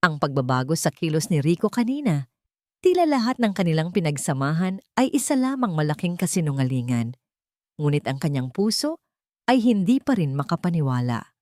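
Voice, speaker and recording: A woman narrating a dramatic love story in a measured, even reading. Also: deep voice, dry recording, clean studio sound.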